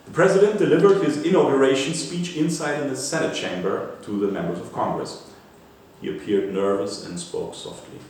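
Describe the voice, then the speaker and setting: A man reading a scripted popular history narrative aloud in a measured way, like an author presenting an excerpt, with an occasional stumble. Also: on the low side, a little mellow. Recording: echoey room, distant mic, faint noise.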